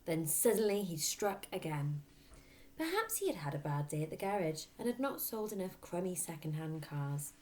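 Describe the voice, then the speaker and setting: Female teacher-storyteller: expressive children's narration, lowish pitch, highly varied intonation. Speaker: teacher reading aloud.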